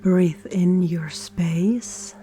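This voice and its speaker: A woman leading a gentle scripted guided meditation in a soft, soothing, often breathy near-whisper, with deliberate pauses. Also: on the low side. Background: soft meditation music and singing bowl tones.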